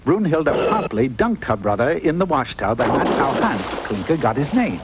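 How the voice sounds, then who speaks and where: A man's voice: mid-range voice, sing-song, moderate pace, close to an everyday voice. A man playing a wry, mock-solemn storyteller narrating a comic cartoon fairy tale. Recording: noisy old unrestored TV cartoon audio.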